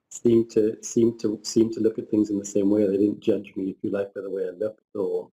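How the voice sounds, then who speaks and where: A man's voice: on the low side, warm tone, rough recording. A middle-aged man from northern England, speaking reflectively as a panelist with frequent restarts and hesitations. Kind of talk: panel discussion, personal story.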